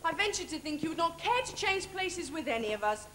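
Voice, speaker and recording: A woman's voice: very high-pitched, big pitch swings, brisk, fairly bright tone, heavily acted. A young English actress playing a cheeky young male valet in a stage pantomime, with a projected, declamatory delivery. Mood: doubtful. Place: large theatre stage, far camera. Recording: echoey, noisy theatre videotape.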